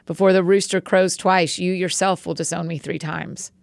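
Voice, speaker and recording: A woman reading as a calm, solemn male teacher. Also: fairly low pitch, normal speed, vocal fry, no room sound, studio quality.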